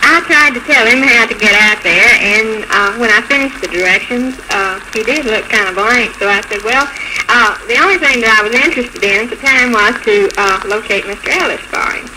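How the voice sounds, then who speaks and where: A woman telling her own story haltingly and politely as a guest in a 1940s radio interview. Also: fairly high pitch. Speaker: bride, show guest. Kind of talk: unscripted personal storytelling in interview. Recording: noisy, crackly 1940s radio recording.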